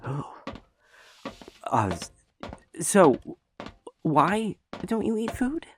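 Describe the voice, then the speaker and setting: A man's voice: sits high, sing-song, extremely slow, a little hiss or hum, exaggerated voice. A man acting an anxious, naive young doctor who stammers earnestly in a sci-fi audio drama. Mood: curious. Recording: music bed underneath.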